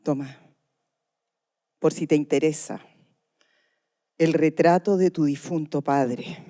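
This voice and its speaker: A solemn Chilean mother in a radio play, recounting her murdered daughter's fate. Her voice is soft-edged and dark, her delivery intense and full of grief. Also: deep voice, fairly even intonation, takes it slowly. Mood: sorrowful. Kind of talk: Spanish-language radio play, dramatic narration.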